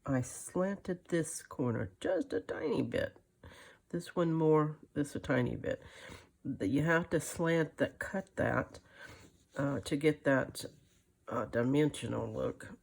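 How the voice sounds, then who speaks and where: A woman's voice: low-pitched, expressive, slow, gravelly, darkish tone. An older woman giving a casual hand-stitching tutorial, thinking aloud in a folksy, rambling, muttering way. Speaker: craft video host.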